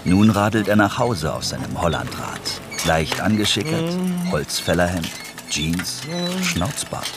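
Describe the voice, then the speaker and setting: A man's voice: deep voice, big pitch swings, a little slow, background noise. A man narrating a scripted radio documentary in short, present-tense scenic sentences. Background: loud music.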